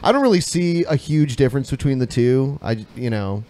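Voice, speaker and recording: A man's voice: mid-range voice, animated, fairly quick, darkish tone. A man hosting a podcast, chatty and telling stories, often protesting in mock outrage. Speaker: podcast host. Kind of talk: casual podcast banter.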